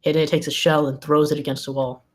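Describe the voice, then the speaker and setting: Narrator for a screenplay table read: medium pitch, reads scene headings and action lines as scripted narration. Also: little pitch movement, fairly quick. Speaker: narrator reading stage directions.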